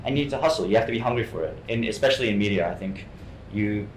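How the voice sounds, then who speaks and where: Low-voiced young man with frequent restarts. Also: racing. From Hong Kong. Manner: repeats words and restarts often. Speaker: guest actor. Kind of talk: casual interview answers. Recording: roomy sound with faint noise.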